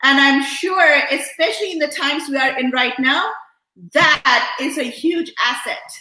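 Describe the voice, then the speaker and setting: Eager woman co-host, high bright voice in an echoey room. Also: unhurried. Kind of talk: hosting an interview.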